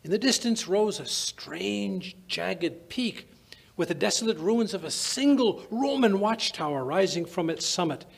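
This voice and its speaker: An older man acts out a gentleman doctor of old-time radio mystery. The voice is raspy and creaky, pitched fairly high for a man. He is blustering and puzzled, given to stammering as he recounts things. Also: animated, measured pace, full character voice. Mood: brooding narration. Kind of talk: old-time radio drama acting, scripted. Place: small indoor stage. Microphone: stand microphone.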